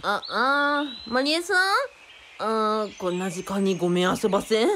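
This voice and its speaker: A woman playing an excitable, vain male cult leader with theatrical, fawning politeness in a radio drama. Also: medium pitch, normal speed, a little hiss or hum, natural voice. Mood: confident, genial.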